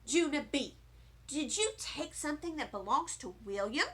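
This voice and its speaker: A woman voicing a stern, exasperated female schoolteacher in a children's storybook, speaking in a firm, deliberate, scolding manner. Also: high voice, expressive, a bit bright. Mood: questioning.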